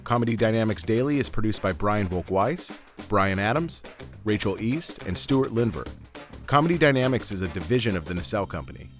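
Low-voiced male announcer over music. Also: lively intonation, a little fast. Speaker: podcast announcer. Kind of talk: scripted show announcement and credits.

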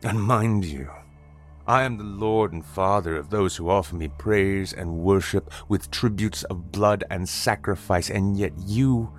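A man voicing a booming ancient god. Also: faint music behind. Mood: stern. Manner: slow, booming, proclamatory. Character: ancient booming male god on a throne, stern judge.

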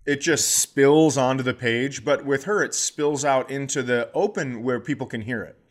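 A middle-aged comedian hosting a podcast, with a voice that sits in the middle of the male range. He tells stories with lots of energy and slips into quick impressions of other people. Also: medium pitch. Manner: animated anecdote-telling, mimicking others in quotes. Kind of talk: comic storytelling chat.

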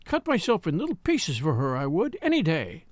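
A man's voice: on the high side, huge pitch swings, normal speed, no echo. A man voicing a devoted young maid of all work, crying and talking in plain rustic speech. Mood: tearful devotion.

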